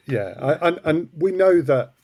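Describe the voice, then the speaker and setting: A man's voice: fairly high pitch, animated, unhurried, a little mellow, no echo. A man chatting casually as an interview guest about his creative work. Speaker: guest, audio drama co-creator. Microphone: close mic, wearing headphones.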